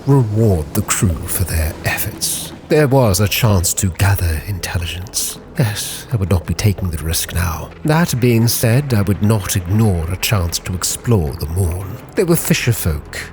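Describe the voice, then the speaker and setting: A man narrating a sea captain's log in a measured, literary, theatrical storytelling style. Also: low voice, animated, noisy background. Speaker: roleplay storyteller, channel host. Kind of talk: dramatic scripted narration. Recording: prominent background music. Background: music.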